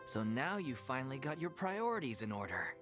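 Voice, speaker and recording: A woman's voice: very deep voice, sing-song, brisk, music in the background. A woman voicing a blunt, sardonic young theatre troupe member in a video game, scolding but caring. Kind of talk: English video game voice acting.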